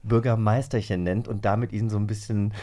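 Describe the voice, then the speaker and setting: A man's voice: on the low side, level tone, fairly quick, dark tone. Effusive male podcast host. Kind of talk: casual, playful chat.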